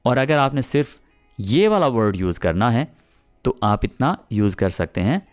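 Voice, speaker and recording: A man with a Pakistani accent teaching spoken English, explaining patiently and repeating example sentences slowly. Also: medium pitch, sing-song, faint music behind.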